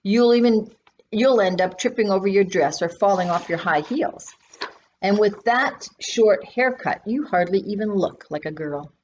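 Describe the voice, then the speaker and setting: A woman's voice: mid-range voice, normal speed, a little mellow, muffled recording, a little hiss or hum, close to an everyday voice. A woman reading a storybook in the part of a teasing, mocking boy cousin. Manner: taunting.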